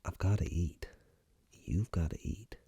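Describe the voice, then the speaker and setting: A man in a soft, unhurried, breathy ASMR roleplay, half-whispering close to the microphone as a flirtatious, playful romantic partner. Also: sits low, dry recording. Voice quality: breathy, gravelly.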